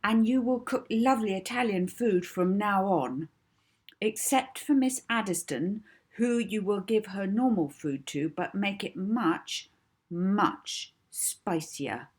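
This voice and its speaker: A woman voicing a young girl hypnotist in an audiobook, speaking in a deliberate, coaxing and commanding way. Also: mid-range voice, lively intonation, breathy edge, pitched above the performer's own voice. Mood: firm, commanding. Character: young orphan girl hypnotist, soothing, bold, bossy. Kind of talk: audiobook character voice.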